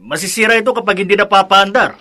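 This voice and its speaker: A man's voice: high-pitched, quick pace, pushed and bright. A man playing a helpful, concerned family friend in a radio drama, plain-spoken and inquisitive, with a Filipino accent. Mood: casual, practical.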